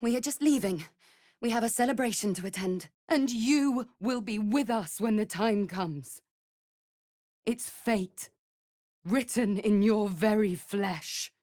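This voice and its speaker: A woman's voice: mid-range voice, takes it slowly, warm tone, no room sound, clean studio sound, lightly acted. A woman playing an anxious, devoted vampire spawn in a fantasy video game, speaking formally and earnestly. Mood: composed, insistent. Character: anxious, devoted female vampire spawn serving her master.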